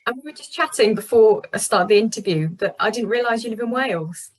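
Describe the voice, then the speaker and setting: A woman's voice: on the high side, a little fast, low-quality recording. A British woman conducting a casual interview, putting questions in a tentative, conversational way. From Cornwall, England.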